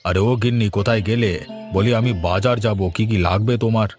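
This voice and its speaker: A man's voice: on the low side, steady tone, moderate pace, over music, natural voice. A man voicing a patient, thrifty old village grandfather in a cartoon, with a folksy, coaxing way of talking. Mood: easygoing. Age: older. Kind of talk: cartoon voice acting.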